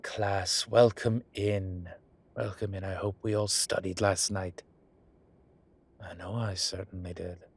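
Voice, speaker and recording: A man playing a flirtatious, wry immortal demon professor in an intimate, conversational audio roleplay, with a low husky voice and a teasing, playful manner. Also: flat delivery, slow pace, heavily acted. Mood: addressing class. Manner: teasing, playful, breathy asides.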